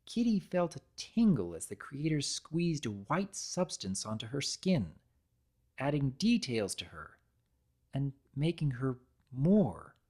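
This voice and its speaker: A narrator giving an expressive, deliberate dramatic reading of a story. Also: mid-range voice, breathy edge.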